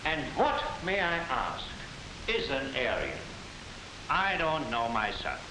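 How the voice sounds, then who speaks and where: An actor playing a dignified, defiant German professor who lectures in a deliberate, didactic way in a 1940s film. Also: male voice, fairly high pitch, sing-song. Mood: measured, questioning. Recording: echoey, hissy 1940s film soundtrack.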